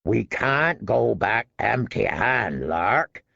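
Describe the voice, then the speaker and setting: A man voicing a huge, slow-witted, bearded soldier in an audiobook, speaking in a simple, plodding way. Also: on the low side, big pitch swings, gravelly, sharp tone, no room sound, lo-fi sound, voice pushed down, full character voice.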